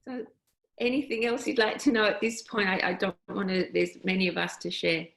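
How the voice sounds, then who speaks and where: A woman telling her personal story on a panel call, reflective and halting, with many pauses and fillers. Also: mid-range voice, gravelly, fairly bright tone, roomy sound. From Australia. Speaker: guest.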